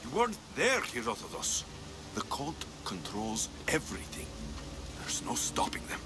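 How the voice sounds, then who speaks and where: A man playing a hardened young Greek mercenary in a dramatic video game, gruff, terse and intense in his delivery. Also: mid-range voice, wildly swooping pitch, moderate pace, noisy background. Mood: defiant, skeptical. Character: hardened young Greek mercenary, skeptical, driven to protect family.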